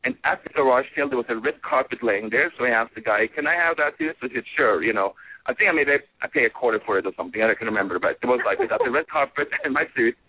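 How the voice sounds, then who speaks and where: A man's voice: mid-range voice, rapid-fire, breathy edge, no echo. Man on a tinny phone line. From Norway. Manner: animated, many fillers and restarts. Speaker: guest, fragrance designer. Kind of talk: casual storytelling in an interview.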